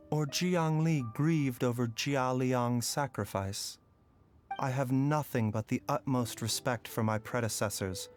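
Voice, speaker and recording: A man playing a calm, reflective doctor. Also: mid-range voice, close to an everyday voice. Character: composed male physician, calm and philosophical. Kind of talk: video game voice acting.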